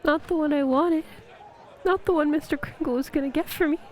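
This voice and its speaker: A woman's voice: high-pitched, a little fast, a little mellow, a faint crowd, natural voice. A woman playing a sincere young girl in a radio play. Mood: disappointed. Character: sincere young girl, doubting then believing.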